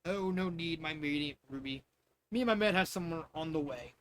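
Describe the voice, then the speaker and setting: An easygoing knight and fond father , as a man reads him out in a fanfiction story. He sounds genial and unbothered, a bit high in pitch, on a faintly noisy recording. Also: moderate pace, lightly acted.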